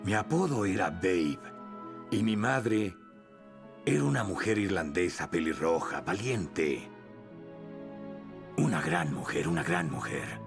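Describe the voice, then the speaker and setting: Man, deep gravelly voice, slow, fond, music underneath. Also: lively intonation. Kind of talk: dubbed documentary testimony.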